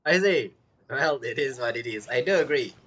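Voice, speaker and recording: Lively casual game streamer woman. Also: extremely low pitch, husky. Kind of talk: casual gameplay commentary.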